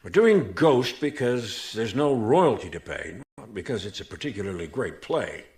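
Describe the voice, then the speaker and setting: Candid, animated theater manager. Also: male voice, lowish voice, moderate pace. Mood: candid, worried. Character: worried, candid male manager of a struggling theater. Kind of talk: radio mystery drama acting.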